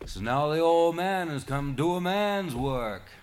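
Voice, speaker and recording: A man voicing an angry old man of the sea with a thundery, rumbly, muttering voice. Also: expressive, measured pace.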